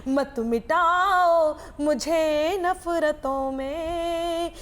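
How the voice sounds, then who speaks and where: A woman's voice: very high voice, faint music behind. A woman reciting poetry in a melodic, half-sung way, drawing out and repeating her lines expressively. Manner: drawn-out, expressive delivery. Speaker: poet reciting at a poetry gathering.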